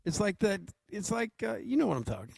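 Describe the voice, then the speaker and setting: A man's voice: sits high, sing-song, a little fast, gravelly, a little mellow, husky, no echo, clean studio sound. An American man co-hosting a sports radio show with casual, informal and joking talk.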